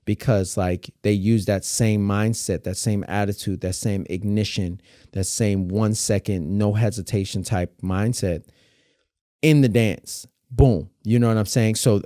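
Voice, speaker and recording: A man speaks as a podcast guest in a low, mellow voice. He talks in an animated, emphatic way and builds each point through vivid comparisons. The sound is dry and close to the mic. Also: no echo. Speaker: dance teacher and battle judge, guest. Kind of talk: casual conversational interview.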